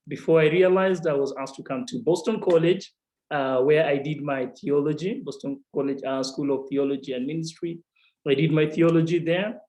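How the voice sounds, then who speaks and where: A man's voice: fairly high pitch, warm tone. Man, Kenyan accent, assistant chaplain, playful storytelling, echoey room. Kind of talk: casual storytelling on a video call. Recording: roomy echo.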